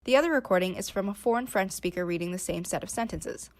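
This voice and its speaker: A woman's voice: medium pitch, quick pace. A young adult woman reading a scripted narration for a student slideshow presentation. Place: voice-over recorded for slides.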